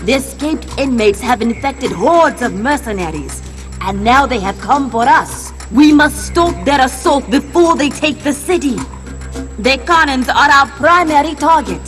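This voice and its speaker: A woman's voice: sits high, expressive, a little slow, a bit bright, soft background music. A woman acting an urgent, proud female warrior leader in a dramatic video game scene. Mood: grave, urgent.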